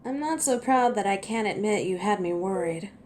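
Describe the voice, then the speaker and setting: A woman's voice: mid-range voice, expressive, moderate pace, a little mellow, a little hiss or hum, natural voice. A woman playing a cocky, teasing werewolf in a scripted audio roleplay, sardonic and protective with an edge of menace, speaking to the listener. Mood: teasing, relieved. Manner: sardonic, taunting, playful.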